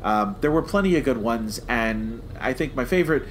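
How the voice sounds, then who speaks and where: A man's voice: medium pitch, lively intonation, moderate pace, poor audio. Man chatting casually over background noise. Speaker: co-host of a TV review podcast. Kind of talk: casual review chat.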